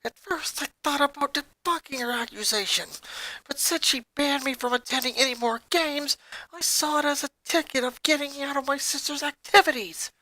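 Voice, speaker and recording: A woman acts out a young boy with a very high voice, hurt and bitter, pouring out his grievances with emphatic emotion. The sound is dry and close, like a dramatic story reading. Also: normal speed, sharp tone, no echo, rough recording. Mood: bitter, irritated. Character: angry, hurt young boy venting about his family. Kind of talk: dramatic fan fiction character reading.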